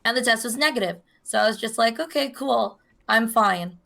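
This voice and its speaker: A young woman with a hoarse voice, co-hosting a podcast in casual chat, telling a long story and acting out the voices of the people in it. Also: on the high side, animated, moderate pace, bright, forceful tone. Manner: chatty storyteller, acts out quoted voices. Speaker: podcast co-host.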